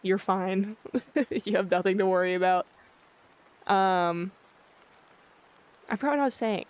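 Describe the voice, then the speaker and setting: A livestreamer chatting casually with viewers in a monotone, blunt-sounding way, often losing their train of thought. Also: medium pitch, measured pace, clean tone. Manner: rambling, loses track of thoughts. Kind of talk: casual chat with live viewers. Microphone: filtered streaming mic.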